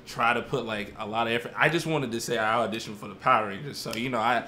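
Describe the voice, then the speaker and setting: A man with a mid-range voice talks in a relaxed, rambling way during a casual interview, filling his sentences with loose fillers. Faint background noise runs under his speech. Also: mid-range voice, fast. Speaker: martial artist and performer.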